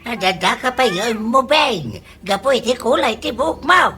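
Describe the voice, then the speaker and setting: A woman playing a flattering older neighbour in a radio comedy, teasing in a drawling Ilocano accent. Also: a little high, moderate pace, noisy background, lightly acted. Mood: flattering, amused. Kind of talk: comedy radio drama acting.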